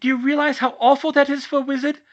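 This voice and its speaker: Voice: mid-range voice, very animated, normal speed, a bit bright, lo-fi sound, voice pushed up. A narrator voicing a shy, upset older schoolgirl witch who talks in a hesitant, stammering way.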